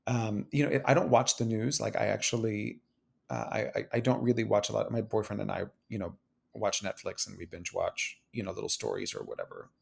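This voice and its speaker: Guy with a gravelly voice, chatty and animated, keeps repeating himself. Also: on the low side, fairly quick. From Cuban-American. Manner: animated, repeats phrases and restarts often. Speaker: guest. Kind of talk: casual conversation. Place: New York City.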